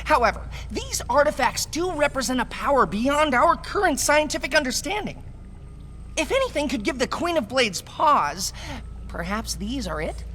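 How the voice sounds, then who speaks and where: A woman voicing a nerdy, eager scientist who speaks precisely and quickly. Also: high voice, sing-song, a bit bright, big-room sound, slight background noise.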